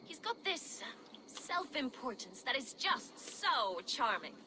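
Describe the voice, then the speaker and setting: A woman's voice: high-pitched, sing-song, slow pace, sharp tone, exaggerated voice. A woman playing a brash, bawdy Viking ex-smuggler in a video game, with a jaunty, teasing, wry way of talking. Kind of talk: video game character acting. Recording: clear background noise and outdoor ambience.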